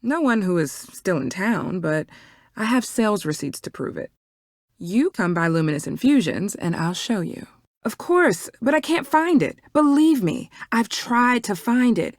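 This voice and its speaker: An actress playing a guarded, defensive young herbalist shopkeeper in a video game, curt and suspicious in manner. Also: female voice, medium pitch, sing-song, moderate pace, no room sound, studio quality, lightly acted. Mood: upset, pleading.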